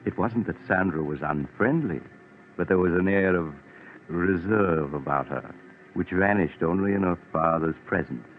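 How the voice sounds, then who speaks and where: A man's voice: low-pitched, moderate pace, narrow, tinny audio, soft background music. A man giving scripted, grave narration in the style of a 1940s radio drama. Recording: thin, noisy 1940s radio recording.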